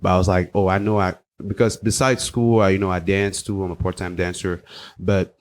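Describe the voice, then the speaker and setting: A man's voice: deep voice, flat delivery, fairly quick, a little mellow. A young man from Cameroon, chatting casually and joking as a guest on a podcast. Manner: laid-back, joking, slangy. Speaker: guest, party promoter.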